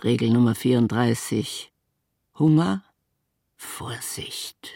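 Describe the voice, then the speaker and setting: An actor playing a grim proclaimer of a lawless city's rules in a radio drama, gravelly, with clipped, ominous phrases. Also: male voice, mid-range voice, takes it slowly, warm tone, dry recording, studio quality.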